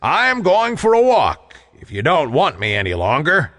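A man voicing a loud, domineering giantess, an overworked storybook wife, in a theatrical manner. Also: a little high, huge pitch swings, moderate pace, long reverb tail, full character voice. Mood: brisk. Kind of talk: storybook character voice.

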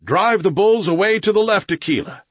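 A man's voice: high-pitched, brisk, fairly bright tone, husky, dry recording, highs cut off, pitched above the performer's own voice. A man reading aloud and voicing an eager jungle boy who gives urgent, commanding orders in old-fashioned speech.

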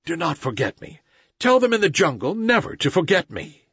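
A man voicing a defiant boy raised by wolves, with a husky voice and a dull recording. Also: sits high, sing-song, moderate pace, no room sound, voice pushed up, exaggerated voice. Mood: sad. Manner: shouting commands, then sobbing pleas.

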